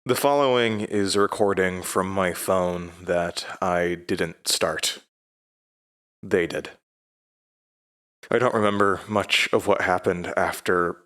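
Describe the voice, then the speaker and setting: An actor playing a haunted man, narrating a horror audio drama in the first person with grave, measured hesitation. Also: low voice, fairly bright tone. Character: haunted man recounting his horrifying past. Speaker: narrator and lead character.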